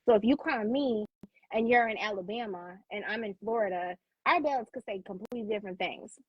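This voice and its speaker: A woman's voice: medium pitch, a little fast, husky, no room sound, lo-fi sound. An American woman co-hosting a talk show, explaining things casually in an emphatic, informal and encouraging way.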